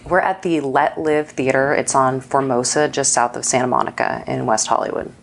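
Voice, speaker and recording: A woman's voice: rumbling low, fairly even intonation, normal speed, vocal fry, a little hiss or hum. A woman speaking casually as an interview guest, informal and peppered with "like" and "you know". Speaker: film festival director, interview guest.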